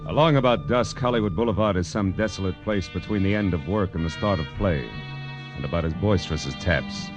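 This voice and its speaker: An American actor playing a hard-boiled, wry private detective in 1940s radio crime drama, terse and deadpan. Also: male voice, deep voice, natural voice. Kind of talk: 1940s radio crime drama acting with first-person narration. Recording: muffled vintage radio recording, music underneath.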